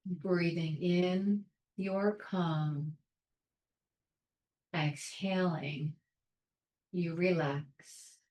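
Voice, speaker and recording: A woman leading a guided meditation in a calm, soothing, measured way, leaving long pauses between short phrases. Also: lowish voice, reverberant, rough recording. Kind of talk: guided meditation, soft instruction.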